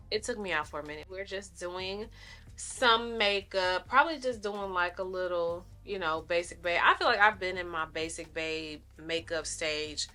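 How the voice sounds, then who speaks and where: A woman's voice: mid-range voice, pushed and bright. A woman in her thirties chatting casually through a makeup routine, a little nasal, rambling in friendly asides to her viewers. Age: 30s. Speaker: lifestyle vlogger. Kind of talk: casual makeup tutorial chat.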